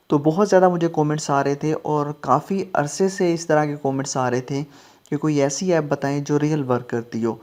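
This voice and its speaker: A man hosting a casual tech tutorial, explaining steps in an instructional way with repeated check-in phrases. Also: on the high side. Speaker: host of a tech tutorial channel. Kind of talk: casual app tutorial narration.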